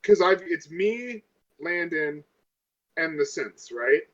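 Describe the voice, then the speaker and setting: A man's voice: fairly high pitch, lively intonation, takes it slowly, a bit bright, highs cut off. A man chatting casually as a player in a tabletop game, insistent and restarting his questions.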